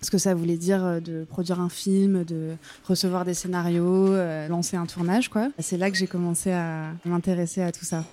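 A woman's voice: fairly low pitch, level tone, normal speed, few pauses, warm tone, soft background music. A young woman from France, guest on an interview, speaking thoughtfully and hesitantly with many fillers in a café-restaurant. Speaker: guest, film script supervisor. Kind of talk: conversational interview.

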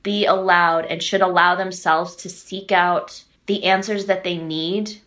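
Woman from the Midwest, podcast guest; earnest, reflective, a touch low-pitched. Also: fairly even intonation, measured pace, fairly bright tone. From American Midwest. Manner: thoughtful, earnest, peppers speech with "like" and "right?". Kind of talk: reflective interview talk.

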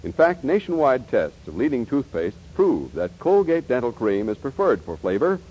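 A man announces a 1940s radio commercial. His mid-pitched voice is husky and very animated in inflection, heard on an old broadcast. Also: normal speed, low-quality recording.

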